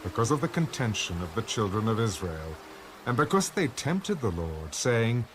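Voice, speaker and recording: A man's voice: lowish voice, sing-song, moderate pace, fairly bright tone, slight background noise. A man narrating a dramatized audio Bible as a solemn storyteller of ancient scripture. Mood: solemn storytelling. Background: musical score.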